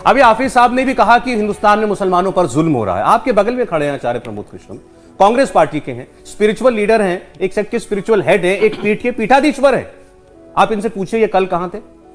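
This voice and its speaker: A man's voice: high-pitched, big pitch swings, quick pace. A man hosting a live TV debate, cutting in insistently to steer the speakers, with music behind him. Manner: interjecting, insistent. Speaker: TV debate host.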